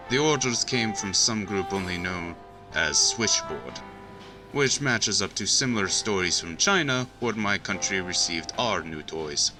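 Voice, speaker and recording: A sardonic Soviet spy in an audio drama, with a thick Russian accent. His low voice rises and falls freely through clipped, broken English, with music underneath. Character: blunt male Soviet prisoner, alleged spy, sardonic storyteller.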